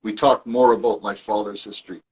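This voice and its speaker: A man's voice: on the low side, fairly even intonation, a little fast, a little mellow, breathy edge, poor audio. A man from Toronto, a guest and author, telling his family history in a rambling, casual way with many restarts and asides. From Toronto, Canada. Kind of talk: casual conversational storytelling.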